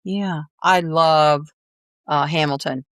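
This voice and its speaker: A woman's voice: sits low, fairly even intonation, slow pace, fairly bright tone, no room sound, studio quality. A middle-aged woman hosting a podcast, chatting casually with her guest in an effusive, encouraging way. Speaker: podcast host. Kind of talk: casual interview chat.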